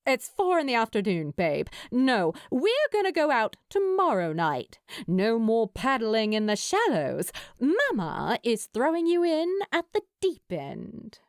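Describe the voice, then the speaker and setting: Woman narrator as a bossy, playful younger gal pal, animated and emphatic, audiobook dialogue. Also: on the high side, unhurried, few pauses, dry recording, studio quality, heavily acted. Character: bold, bossy, teasing younger woman friend.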